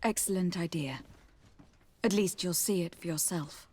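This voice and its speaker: A woman's voice: on the low side, moderate pace, darkish tone, lightly acted. A woman voicing a poised, practical noble diplomat in a video game, formal and assured. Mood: composed.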